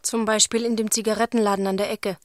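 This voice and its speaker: A woman's voice: mid-range voice, flat delivery, fast talker, no echo, clean studio sound, lightly acted. An actress playing an observant, critical female commentator in a radio drama chorus. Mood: reflective. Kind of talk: radio drama choral narration. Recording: loud music behind.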